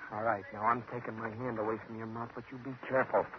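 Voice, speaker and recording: A man's voice: fairly low pitch, flat delivery, fast talker, lightly acted. Actor as a desperate, menacing American gunman, old radio. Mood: threatening. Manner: intense, threatening, slangy. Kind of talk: 1950s American radio thriller acting. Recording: muffled, noisy 1950s radio recording.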